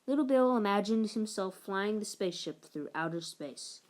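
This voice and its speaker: Expressive woman reading a children's story. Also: mid-range voice, normal speed, a little hiss or hum. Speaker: story reader. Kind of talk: children's picture-book read-aloud, scripted narration.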